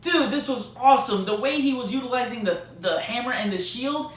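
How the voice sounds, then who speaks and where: A man's voice: very high-pitched, brisk, a bit bright. A man giving a casual, rambling movie review with slangy, mildly sweary and emphatic fan enthusiasm. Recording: roomy echo, distant mic, faint noise.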